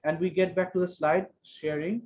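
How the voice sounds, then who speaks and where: A man giving an online economics lecture, explaining step by step and slowly repeating key phrases. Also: high-pitched, fairly even intonation, darkish tone, highs cut off.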